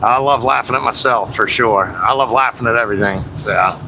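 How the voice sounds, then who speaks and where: A man drives a truck down the highway and chats to his livestream, loose and rambling, dropping fillers and a casual curse here and there. He sits in the cab, and the road and engine noise rumble clearly under his mid-pitched voice. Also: brisk. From United States. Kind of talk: casual livestream chat while driving.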